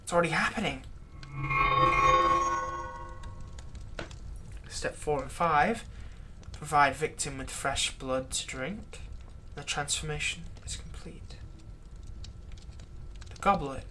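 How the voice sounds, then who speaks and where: A man playing a vengeful slave who is turning himself into a vampire, in a close roleplay monologue, taunting and theatrical, dropping into breathy whispers. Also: fairly high pitch, unhurried, sharp tone, a little hiss or hum. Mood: bossy, threatening. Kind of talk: roleplay audio acting, close ASMR monologue.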